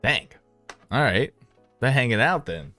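A man hosts a casual streaming podcast in a mid-pitched voice. He talks in an opinionated, conversational way, repeating key points for emphasis. The sound is close and dry, with music softly underneath. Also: animated, unhurried, no room sound. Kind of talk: casual chat about streaming.

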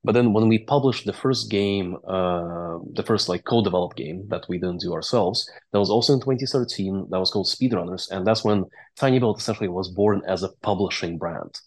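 Low-voiced man. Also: a little fast, few pauses. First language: Russian or Latvian. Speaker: interview guest, game company founder and CEO. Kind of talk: conversational interview storytelling.

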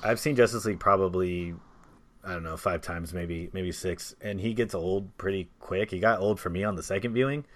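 A man's voice: sits low, normal speed. A man hosting a casual movie podcast, opinionated and jokey as he steers the discussion. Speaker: podcast host.

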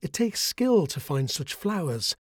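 A man's voice: fairly high pitch, very animated, a little slow, slightly rough, dry recording, clean studio sound, exaggerated voice. A man voicing a kind, chirpy kangaroo girl. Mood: warm. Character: kind cheerful female kangaroo.

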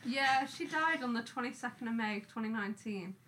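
A woman's voice: a little high, fairly quick, low-quality recording. A young woman chatting casually among friends. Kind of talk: casual group chat. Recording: loud background noise.

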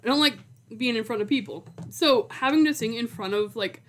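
A young woman in her twenties with a fairly high voice co-hosts a podcast. She speaks in an informal, conversational way, peppering her sentences with "like". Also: fairly quick, a bit bright. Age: 20s. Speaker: podcast co-host. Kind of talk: casual chat.

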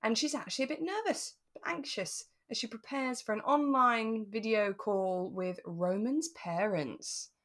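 A woman's voice: a little high, a little slow, few pauses, fairly bright tone. A British woman co-hosting a podcast, chatting casually and with a giggly, self-deprecating manner as she recaps a drama. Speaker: podcast co-host.

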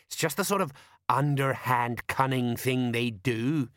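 A man's voice: mid-range voice, unhurried, fairly bright tone, no room sound, studio quality, lightly acted. A man voicing a pompous, self-important, dim-witted veteran police sergeant who lectures in colloquial speech, in an audiobook. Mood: smug, knowing.

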